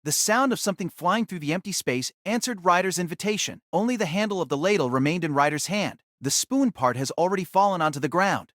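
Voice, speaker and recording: Dramatic, animated male story narrator. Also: sits high, a little fast, hardly any pauses, no room sound, clean studio sound.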